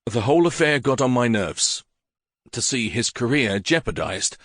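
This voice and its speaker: A man's voice: medium pitch, very animated, moderate pace, a bit bright, dry recording, studio quality. A man voicing an impatient middle-aged lawyer who speaks formally and grows heated easily. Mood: indignant.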